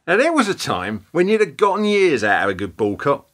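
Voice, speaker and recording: A chatty, grumbling plumber acted by a performer in a radio play. The delivery is opinionated and complaining, with a lively, animated rise and fall. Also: medium pitch, a little fast. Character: grumbling, chatty plumber lamenting a throwaway world.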